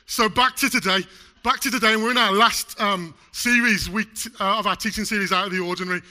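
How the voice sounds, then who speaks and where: A man's voice: sits high, fairly even intonation, fast, sharp tone. A man preaching a sermon in a church, chatty and jokey, still getting over a chest cold. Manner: chatty, jokey, loose with fillers. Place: church hall.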